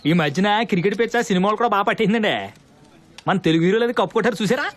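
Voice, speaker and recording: A man's voice: high-pitched, very fast, fairly bright tone. A man playing a garrulous, fussy, grumbling wedding guest in a film comedy. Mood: chatty grumbling. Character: nosy, chattering, grumbling wedding guest from groom's side.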